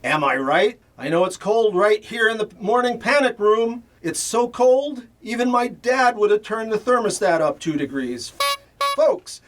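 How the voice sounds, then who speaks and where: A man's voice: sits high, lively intonation, unhurried, a bit bright. A man playing a brash, chummy small-town morning radio shock jock with showy, self-promoting patter. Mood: upbeat, showy.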